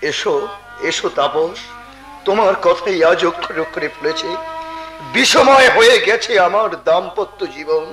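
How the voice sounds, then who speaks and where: A man's voice: sits high, animated, moderate pace, fairly bright tone. A man playing a tormented, jealous husband in Bengali folk-theatre melodrama, delivered in anguished declamation. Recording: echoey stage sound with music.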